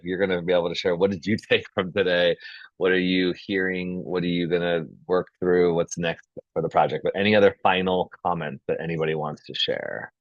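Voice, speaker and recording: Deep-voiced man hosting, dull call audio. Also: no echo. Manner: encouraging, informal, peppers talk with "like". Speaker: host of an online filmmakers' meetup. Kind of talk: casual group video-call hosting. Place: video call.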